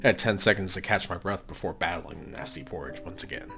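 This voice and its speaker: A man with a low-pitched voice hosts a game playthrough. He moves between offhand commentary and storytelling narration of on-screen text. Prominent game music plays underneath his voice. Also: fast talker, creaky. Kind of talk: casual gaming commentary and read-aloud narration.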